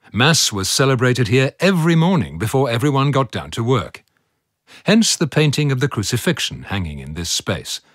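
A man's voice: medium pitch, fairly bright tone, husky, dry recording, clean studio sound. A man narrating a scripted museum audio piece.

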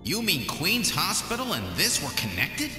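A man's voice: fairly high pitch, wildly swooping pitch, moderate pace, pushed and bright, heavily acted. A man playing an earnest, determined detective hero in an adventure game, with dramatic, emphatic delivery. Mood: puzzled, deducing. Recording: reverberant echo, music behind.

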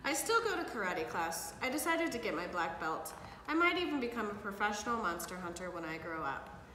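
A middle-aged woman reading a picture book aloud to children with expressive, animated storytelling, recorded in a living room by a camera a few feet away. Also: medium pitch, fairly quick. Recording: echoey hard-walled room, some background noise.

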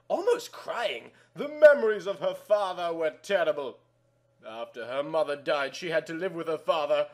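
A man's voice: high voice, a bit bright. A man reading a story aloud in a theatrical, exaggerated way. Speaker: narrator reading amateur fan fiction aloud.

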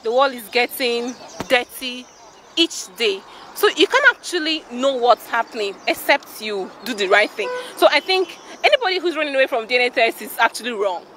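A woman's voice: a little high, lively intonation, moderate pace, bright, forceful tone, a little hiss or hum. A young Nigerian woman giving a firm opinion in a street interview into a handheld mic. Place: busy city street outdoors. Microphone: handheld mic held by interviewer.

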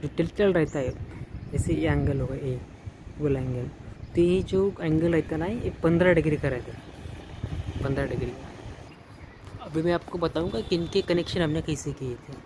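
A man talks through an installation as he walks around, pausing, restarting and repeating himself. It is recorded on a handheld phone on a rooftop and indoors, with clear traffic noise behind him. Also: a little high. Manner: halting, repeats words and restarts while pointing things out. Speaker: electrician showing an installation. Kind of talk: casual walkthrough explanation. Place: rooftop outdoors, then indoor stairwell and wall. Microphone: handheld phone camera, filming himself off-frame.

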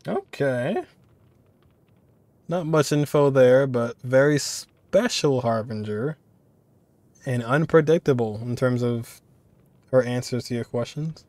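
A young man reacts to a game in a casual way. His voice is medium in pitch and recorded very dry and close. He muses aloud informally, often circling back to repeat a phrase. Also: slow pace, pauses often, a little mellow, dry recording. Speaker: reaction video creator.

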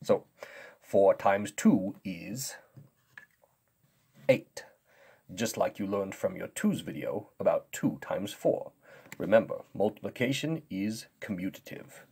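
A man playing a patient, soft-spoken teacher with a gentle, unhurried manner in a kids' multiplication skit. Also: sits low, animated, creaky, slightly rough, heavily acted. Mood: instructive.

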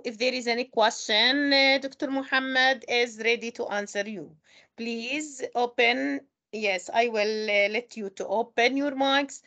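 Slow-talking woman hosting a webinar. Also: a little high, pushed and bright. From Palestine. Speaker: webinar host. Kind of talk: hosting an online webinar, formal announcing. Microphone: online meeting call.

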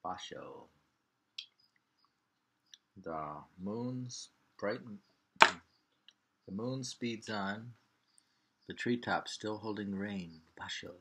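An older man in his sixties reading poems aloud at home with informal, halting commentary, repeating lines and names. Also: fairly low pitch, background noise. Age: 60s. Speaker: poetry reader. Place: small living room at home.

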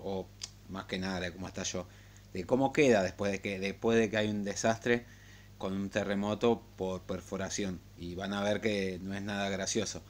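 A man giving a casual, unscripted game tutorial in a halting, rambling way. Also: on the low side. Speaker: gaming tutorial host. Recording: clear background noise and hum.

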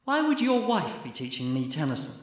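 A man's voice: a little high, very animated, fairly quick. A man acting a chatty, wry young geology researcher in a radio drama. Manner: wry, talkative. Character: chatty, skittish male geology postdoc, poetry-loving and wry. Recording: echoing, cave-like reverberant sound.

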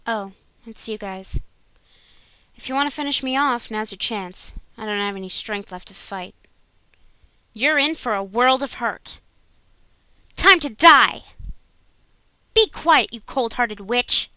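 A woman's voice: fairly high pitch, slow, pauses often, bright, forceful tone, smooth, dry recording. A woman voicing a tough, feisty young female ninja with a dramatic delivery in an anime game dub audition. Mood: fierce.

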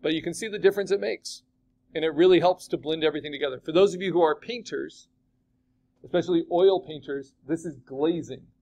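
A man teaching a digital art class, chatting casually and asking his students questions as he demos the software. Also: on the high side, a little fast. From California. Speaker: art instructor.

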